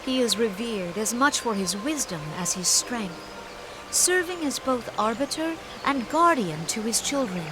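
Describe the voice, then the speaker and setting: A woman playing a gentle, dignified leader of a forest nation in a video game, speaking in a formal, measured, earnest and courtly way. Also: on the high side, expressive, background noise. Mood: grave, hopeful. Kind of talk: scripted video game voice acting. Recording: loud music behind the voice.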